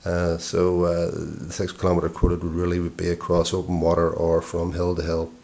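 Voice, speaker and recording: A middle-aged man giving an informal product review in short, matter-of-fact phrases with hesitant pauses. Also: low voice, completely flat delivery, vocal fry, a little mellow.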